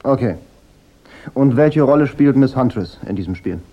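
An actor playing a wry, unflappable private detective in a German radio drama. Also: male voice, medium pitch, expressive, moderate pace, muffled recording, lightly acted. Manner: dry, sardonic.

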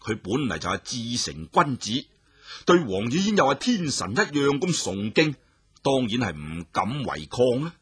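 A man telling a traditional story with expressive, animated narration. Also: medium pitch, normal speed, breathy edge, no room sound.

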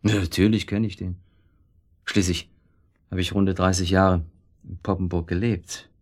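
A man acting a middle-aged, irritable and evasive husband in a German radio play, speaking in a sardonic, halting way. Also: low-pitched, level tone, darkish tone. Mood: uneasy, evasive.

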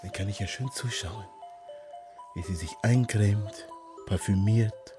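A man's voice: fairly low pitch, slow, music in the background. A man acting in a German radio drama as an anxious young Ghanaian man living in hiding, breathless and muttering to himself. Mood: idle, murmuring.

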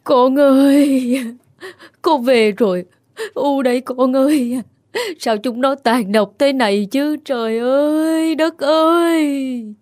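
A woman's voice: mid-range voice, animated, unhurried, long pauses, mellow, husky. A woman voicing a grieving, vengeful old village mother in a horror story, cold and bitter. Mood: wailing grief.

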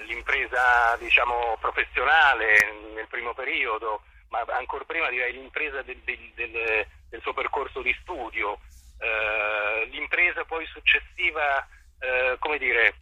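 A man giving reflective interview answers as a theatre director, articulate and formal, choosing words deliberately. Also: mid-range voice, pushed and bright, breathy edge, no echo, low-quality recording.

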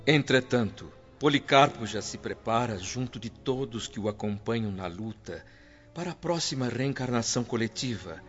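A wise, serene male spirit speaks in a measured, teaching way. It is a lo-fi radio drama recording with soft music behind. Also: mid-range voice, expressive, breathy edge. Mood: serene, earnest. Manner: measured, instructive, formal. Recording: dull lo-fi audio with music.

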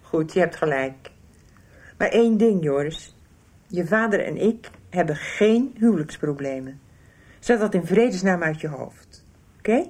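Husky, animated bossy mother. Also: on the low side, a little slow. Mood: defensive, firm. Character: bossy, nagging middle-aged mother, firm and caring. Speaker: actress in a radio soap. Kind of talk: 1990s Dutch radio soap dialogue.

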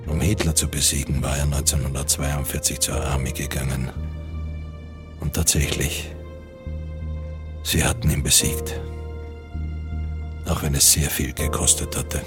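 A man with a deep voice reads literary narration for a radio play. His delivery is measured and serious, and music plays clearly behind him. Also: little pitch movement.

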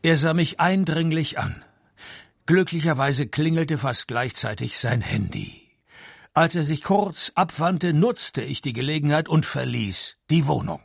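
An actor playing a sardonic, world-weary private detective in a radio play, with a gravelly voice and dry, deadpan delivery. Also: male voice, a little high, a little slow, exaggerated voice. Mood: wry narration. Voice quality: gravelly, creaky. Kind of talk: detective radio play acting and first-person narration.

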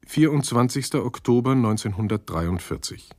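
A man reading scripted documentary narration in a sober, factual, measured manner. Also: mid-range voice, lively intonation, a little mellow, dry recording. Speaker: narrator of a radio documentary play.

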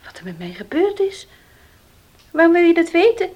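A woman's voice: extremely high pitch, big pitch swings, moderate pace, darkish tone. An actress playing a defiant young working woman in a radio play, speaking in a tense, evasive and curt way. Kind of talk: Dutch radio play acting.

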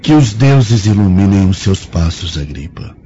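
A dignified young Roman brother pleads formally with a Brazilian accent. His mellow voice moves widely in pitch, in radio drama style. The recording carries faint noise. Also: big pitch swings, a little slow, dull audio. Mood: solemn affection. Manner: earnest, formal.

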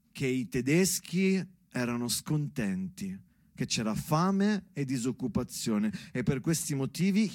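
A man's voice: fairly high pitch, darkish tone, dry recording, clean studio sound, close to an everyday voice. A man playing a father who reads a rambling, ironic letter to his young daughter as a colloquial, rhythmic stage monologue. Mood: tender, hesitant. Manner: sing-song delivery, colloquial and ironic. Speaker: stage actor and writer performing a monologue. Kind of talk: theatrical monologue, rhythmic spoken word.

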